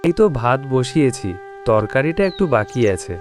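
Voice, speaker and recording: A henpecked village husband from a cartoon, acted by a man, with a medium-pitched voice full of weary complaint. He sounds meek and put-upon, grumbling plaintively over background music. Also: animated, moderate pace, close to an everyday voice. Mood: apologetic. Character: henpecked, long-suffering poor village husband. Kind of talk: cartoon dialogue.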